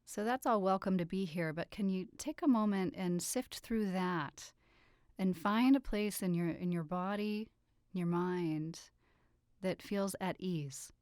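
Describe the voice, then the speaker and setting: Low, smooth-voiced woman guiding meditation. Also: dry recording, studio quality. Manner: soothing, unhurried, gentle instructions with pauses. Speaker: meditation guide. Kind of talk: guided meditation, yoga nidra.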